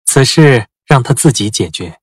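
A man voicing a composed young commander who speaks with quiet amusement. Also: mid-range voice, husky, no room sound. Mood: calm, amused. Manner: calm, amused, unhurried. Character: calm, composed young male general. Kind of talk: audio drama acting.

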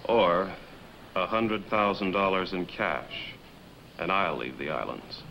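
An actor playing a cold, scheming male blackmailer in a 1950s film drama, with a low, coolly threatening delivery. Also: expressive, slow, lo-fi sound, heavily acted. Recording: old film soundtrack with light hiss.

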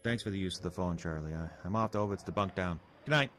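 A man's voice: deep voice, quick pace, music in the background, natural voice. An actor playing an uneasy working man who narrates his own snowbound horror story in a dramatic, brooding way. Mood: casual. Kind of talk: first-person radio horror narration. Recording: noisy storm ambience under the voice.

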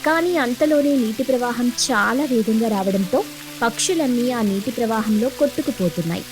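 A woman's voice: on the high side, moderate pace. A woman storyteller reading scripted narration for a children's cartoon tale.

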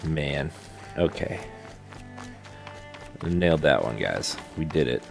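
Male gaming host: low, unhurried, laid-back commentary, muttered thoughts, soft music behind. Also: big pitch swings, creaky. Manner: laid-back, thinking aloud. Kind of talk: casual let's play commentary. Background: faint game music.

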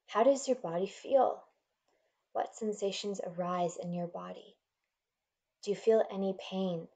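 A woman leading a guided meditation in a gentle, soothing, measured manner, leaving pauses between instructions. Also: mid-range voice, gravelly.

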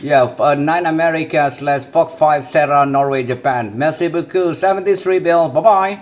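A man's voice: a little high, moderate pace. A man from Indonesia with an Indonesian accent, working as an amateur radio operator, making short exchanges with call signs and repeating his phrases.

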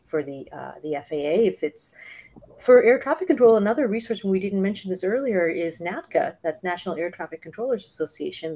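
A woman's voice: lowish voice, darkish tone, rough recording. A middle-aged woman on a video call, explaining and advising conversationally as a panelist. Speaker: panelist giving advice. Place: home office, on a video call.

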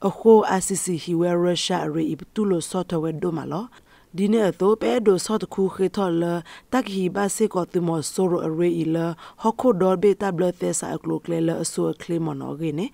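A radio news presenter reads from a script in a creaky voice with some gravel in it. The sound is close and dry, with very little room around it. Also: mid-range voice, moderate pace, warm tone, no room sound.

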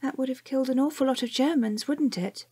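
Young daughter, radio play: high, childlike voice, inquisitive, earnest, tentative. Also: female voice, fairly quick, mellow, husky. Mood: curious, sobered. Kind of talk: audio drama acting.

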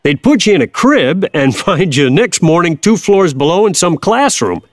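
A man's voice: high voice, big pitch swings, normal speed, dry recording, studio quality. An actor playing an older Kansas farmer father, plainspoken, folksy and patiently wry, in an audio drama.

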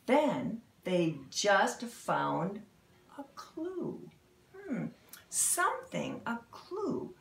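Middle-aged woman, children's read-aloud, dramatic and expressive, highish creaky voice. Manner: dramatic, expressive, pauses and exclaims. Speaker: storybook reader for children. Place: indoor room in a house. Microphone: camera a few feet away. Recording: roomy, echoey home recording.

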